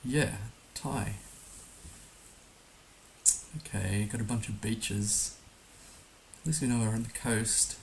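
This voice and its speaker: A hoarse man musing hesitantly aloud as he gives casual live commentary on a game he is streaming. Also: lowish voice, takes it slowly, pauses often, poor audio. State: hoarse, recovering from being under the weather. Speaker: livestream host playing a geography guessing game. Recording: noticeable background hiss.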